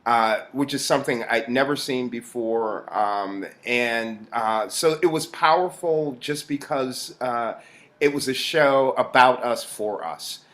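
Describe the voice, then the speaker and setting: A man with a mid-pitched voice talks at a slow pace in an interview. He is reflective and halting, pausing often as he thinks through what he says. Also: fairly bright tone. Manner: halting, thoughtful, with frequent pauses. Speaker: actor being interviewed.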